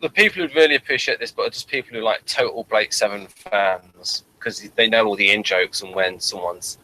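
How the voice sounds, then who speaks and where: Bright, forceful man chatting haltingly. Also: fairly low pitch, a little hiss or hum, poor audio. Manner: halting, repeats words and trails off mid-thought. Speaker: podcast co-host reviewing a TV series. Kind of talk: casual unscripted review chat.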